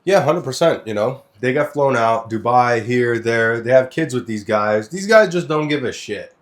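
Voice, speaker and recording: A man's voice: mid-range voice, animated, moderate pace. A young man from Lebanon co-hosting a podcast, talking bluntly and crudely, swearing as he tells stories and gives opinions. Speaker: podcast co-host. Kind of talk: casual opinionated podcast chat.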